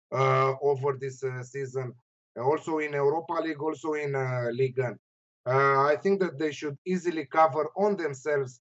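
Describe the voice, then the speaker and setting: A man giving casual, opinionated betting analysis in non-native English, emphatic and prone to repeating himself. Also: a little high. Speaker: sports betting pundit. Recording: slightly dull lo-fi audio.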